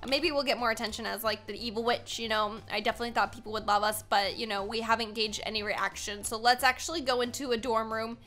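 A woman in her twenties with an American accent, giving excitable, playfully dramatic gameplay commentary and chatting casually to viewers at a close mic in a small room. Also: fairly high pitch, fast talker, few pauses, faint music behind. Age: 20s. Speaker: gaming video host. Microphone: desk microphone, close.

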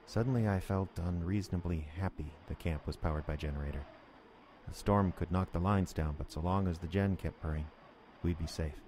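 A man's voice: sits low, fairly quick, darkish tone, natural voice. An actor playing an uneasy working man who narrates his own snowbound horror story in a dramatic, brooding way. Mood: brooding dread. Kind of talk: first-person radio horror narration. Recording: noisy storm ambience under the voice.

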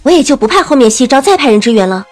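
A woman's voice: high-pitched, sing-song, very fast, soft background music. A woman playing a confident young woman general in a period audio drama, decisive and composed. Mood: pleased.

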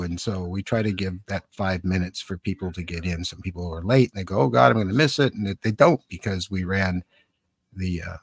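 An American man in his seventies hosting a casual live stream in a rambling, halting, conversational way, close on a studio microphone. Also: deep voice, slightly rough, no echo. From San Francisco, Northern California, USA. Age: 70s. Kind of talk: casual live-stream chat, reading and answering comments. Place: small cluttered home studio indoors. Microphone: close boom-arm studio microphone with headphones.

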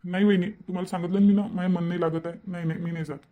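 A man's voice: high voice, flat delivery, rapid-fire, mellow, natural voice. A man voicing an easygoing, moody young brother-in-law in a cartoon, casual and repetitive, in Varhadi-accented Marathi. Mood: reluctant, fretful. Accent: Varhadi Marathi, Vidarbha. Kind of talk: amateur cartoon voice-over.

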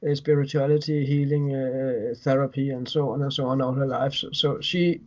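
A man's voice: mid-range voice, fairly even intonation, normal speed. A man in his thirties from Denmark with a Danish accent, speaking as a guest in a casual video-call interview and often repeating words as he recounts. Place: home room indoors. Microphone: webcam on video call. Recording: dull lo-fi video call audio.

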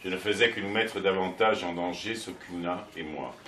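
An older man reading a text aloud at a bookshop event, steady and measured. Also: low voice, slight background noise. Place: bookshop, indoors. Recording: echoey shop from far off, chatter.

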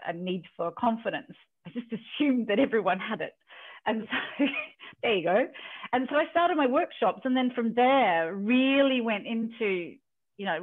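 A woman in her fifties from New Zealand, a leadership coach telling her story in a relaxed video call interview from her home, often quoting what she and others said. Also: medium pitch. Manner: storytelling, chatty, quotes herself. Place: home office in a country house. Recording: tinny video call audio.

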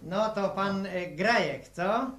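A man's voice: sits high, takes it slowly, a bit bright, slight background noise, exaggerated voice. A man playing a breezy, pushy travelling photographer who talks in glib, jokey patter in a 1960 radio drama. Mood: jocular.

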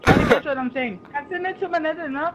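A man's voice: very high voice, quick pace, noisy background. A young man in casual gaming chat, rambling and restarting his sentences with lots of fillers. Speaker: gamer playing with a friend.

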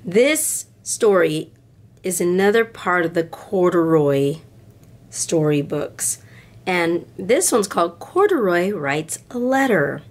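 A woman reading a picture book aloud to children in a deliberate, expressive way. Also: fairly low pitch. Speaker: storybook reader for children.

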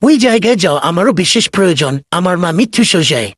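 A man voicing a worried, chummy tortoise with a husky voice in a children's cartoon. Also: high voice, sing-song, fast talker, a bit bright, no echo, studio quality, exaggerated voice. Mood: pleading. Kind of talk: dubbed children's cartoon dialogue.

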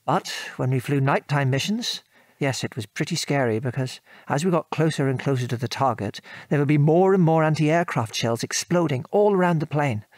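An actor with a British accent playing a gentle middle-aged father, a former RAF man, reminiscing in an old-fashioned genteel manner in a radio play. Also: on the high side, lively intonation, normal speed, no room sound, lightly acted. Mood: sober, reflective. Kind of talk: radio drama dialogue, reminiscing.

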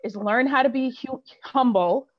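A woman's voice: sits high, measured pace, highs cut off. A woman clergy member speaking passionately and emphatically in a panel discussion.

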